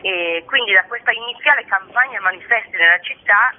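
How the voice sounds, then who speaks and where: A woman's voice: medium pitch, brisk. A woman speaking as an artist presenting her work in an explanatory interview style, often checking in with short tag questions. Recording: narrow tinny telephone-like line.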